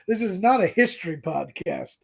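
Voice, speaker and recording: A podcast co-host chatting casually and comedically about old radio drama, with a theatrical, mock-dramatic manner and a husky voice. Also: mid-range voice, animated, moderate pace, darkish tone.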